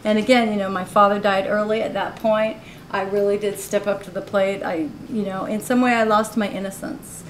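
A woman in her forties giving a gallery talk about her own artworks, candid and quick to laugh, with many "you know"s. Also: medium pitch, little pitch movement. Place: college art gallery. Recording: roomy live recording with faint noise.

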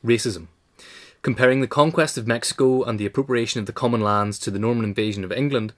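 A man narrating a scripted essay as its reader. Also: medium pitch.

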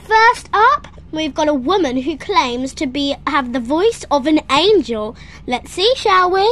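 A bubbly woman hosts a homemade toy talent show, announcing each act in a very high voice at a slow, drawn-out pace. Faint room hiss sits under her on a home camera mic. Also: very animated, a bit bright. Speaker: show host narrator. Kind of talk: toy skit narration.

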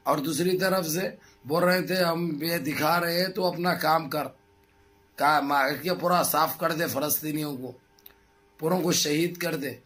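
A middle-aged man with a hoarse throat gives impassioned political commentary to his viewers in emphatic, repetitive, rhetorical phrases. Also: on the high side, fairly even intonation, measured pace, fairly bright tone. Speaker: news commentary vlogger. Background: background music.

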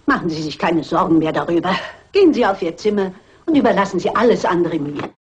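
A woman's voice: lowish voice, expressive, fast, breathy edge, lo-fi sound. An actress playing an elderly, shrewd amateur sleuth in a German radio crime drama, polite, composed and motherly in her firmness. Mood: calm, reassuring.

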